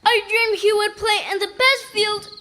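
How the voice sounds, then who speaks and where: A woman's voice: extremely high pitch, flat delivery, normal speed, pushed and bright, a little hiss or hum. A woman playing a stubborn young boy in a children's puppet show, pleading and protesting emphatically over his beloved pet. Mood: upset, pleading.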